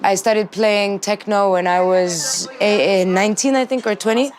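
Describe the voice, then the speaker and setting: A woman in her thirties, from Palestine and a native Arabic speaker, telling the story of a DJ scene in a relaxed interview. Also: mid-range voice, normal speed. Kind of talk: documentary interview. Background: music.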